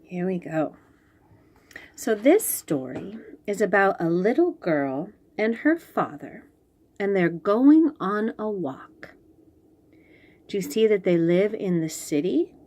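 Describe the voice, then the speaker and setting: A woman's voice: mid-range voice, lively intonation, slow, a little mellow. A woman reading a picture book to young children, describing the pages in simple short phrases and asking the listener questions. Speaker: children's read-aloud host.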